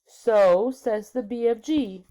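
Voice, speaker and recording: Storybook queen, played by a woman: regal authority, composed, matter-of-fact, mellow tone, unhurried. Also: on the high side, low-quality recording.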